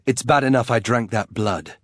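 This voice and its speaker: A man's voice: mid-range voice, moderate pace, no echo, studio quality, natural voice. An actor playing a proud, brooding young nobleman turned reluctant warrior in a fantasy video game, formal, terse and bitter. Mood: bitter, resentful.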